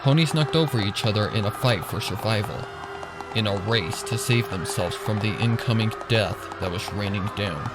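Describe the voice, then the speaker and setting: A man's voice: fairly low pitch, moderate pace. A man reading scripted fan fiction as a dramatic narrator. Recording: loud music and effects behind. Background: loud music.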